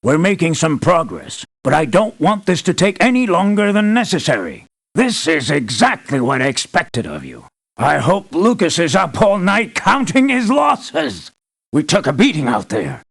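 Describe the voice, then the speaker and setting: A blunt, demanding boss of hired soldiers in a video game. The man's voice sits a little high and swings widely. He is articulate and businesslike, with an anxious, emphatic edge. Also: wildly swooping pitch, normal speed, creaky, a bit bright, exaggerated voice. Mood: grave. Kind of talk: video game character voice lines.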